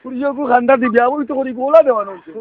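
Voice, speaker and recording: This is a high-voiced Sylheti man arguing over a thin, noisy phone line. He scolds at a quick clip in long, exasperated rambles. Questioning grunts keep punctuating his complaints. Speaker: prank call participant. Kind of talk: heated phone argument. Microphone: telephone.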